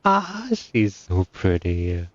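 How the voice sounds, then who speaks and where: A man's voice: low-pitched, huge pitch swings, very slow, no echo, dull audio. A man who streams games, reacting casually as he plays. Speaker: game streamer. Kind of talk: casual live reaction to a game. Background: faint game music.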